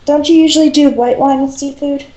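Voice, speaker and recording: A woman's voice: high-pitched, steady tone, fairly quick, warm tone, lo-fi sound. A woman talking in a casual, conversational way. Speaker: fan fiction reader. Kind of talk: casual chat. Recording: roomy echo.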